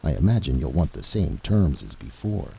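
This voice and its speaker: A man voicing a brisk, slangy, cheerful army general in a story reading. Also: rumbling low, very animated, creaky, dull audio, a little hiss or hum, heavily acted. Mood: hearty, pleased. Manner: slangy, blunt, expansive. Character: brisk, happy, plain-spoken male army general.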